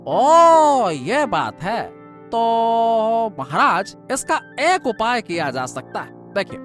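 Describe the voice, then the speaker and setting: A man voices a scheming cartoon royal minister who flatters his betters. His delivery is oily and theatrical, swinging widely in pitch, with music behind him. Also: exaggerated voice. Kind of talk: animated Hindi moral-story voice acting.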